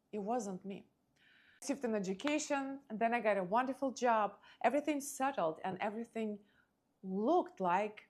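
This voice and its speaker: A female podcast host with a Ukrainian accent delivers a motivational monologue. Her voice sits at a medium pitch for a woman. She speaks in short, emphatic phrases and leaves dramatic pauses between them. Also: darkish tone.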